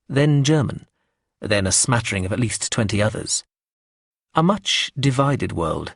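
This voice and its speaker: Brief: low, husky male narrator, wry and measured, dry close sound. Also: no room sound. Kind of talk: first-person audiobook narration.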